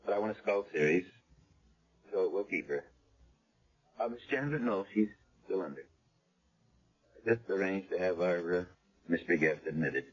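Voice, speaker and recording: An actor playing a middle-aged hospital chief of staff, brisk and condescending, questioning a patient in a businesslike way in a 1970s radio drama. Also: male voice, low voice. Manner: crisp, businesslike bedside questioning. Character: brisk, condescending male doctor in charge. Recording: dull old radio broadcast.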